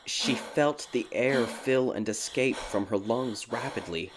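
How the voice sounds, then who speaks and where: A man narrating a dark fairy tale audiobook in a dramatic, scripted storytelling style. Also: mid-range voice, unhurried, background noise. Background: background music.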